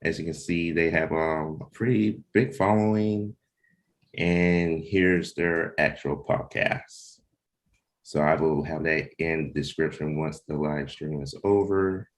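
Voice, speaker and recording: Slow, deep-voiced man hosting casually. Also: fairly even intonation. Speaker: host, comic book creator and narrator. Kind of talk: casual livestream hosting of a table read.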